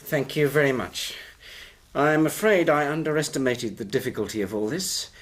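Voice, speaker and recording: A man's voice: mid-range voice, moderate pace, close to an everyday voice. An actor playing a pompous, avuncular, slyly jovial male employer in a period radio drama, with a patronising, theatrical manner. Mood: pompous, businesslike.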